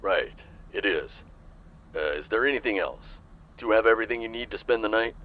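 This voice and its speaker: A man playing a calm, businesslike police chief in an audio roleplay, heard through a phone filter. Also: fairly low pitch, a little fast. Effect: phone call filter. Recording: tinny phone line.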